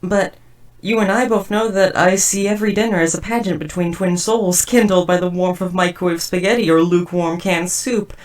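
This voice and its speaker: Woman voicing a robot house spouse, lower female register, formal wordy delivery, awkward, devoted, murderous streak, audio roleplay drama. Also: fairly even intonation, normal speed, recorded from a distance, natural voice. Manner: stiff, verbose, overly formal wording. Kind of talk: scripted roleplay audio drama addressed to listener.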